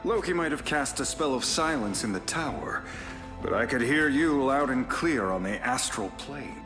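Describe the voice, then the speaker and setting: An actor voicing a composed, self-assured sorcerer in a video game, articulate and authoritative. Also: male voice, mid-range voice, animated, moderate pace, lightly acted. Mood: confident. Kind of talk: video game hero voice lines. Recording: music behind.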